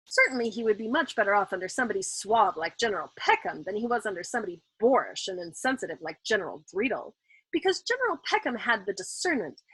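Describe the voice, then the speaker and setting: A woman reading a novel aloud in an expressive, dramatic audiobook style, as the host of a storytime. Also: a little high, breathy edge, no echo, rough recording.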